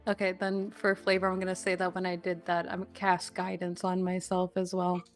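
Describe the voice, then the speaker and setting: A woman's voice: on the low side, monotone, normal speed, faint music behind. A woman chatting casually as a player in a tabletop game, amused and prone to giggling. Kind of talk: casual chat during a game.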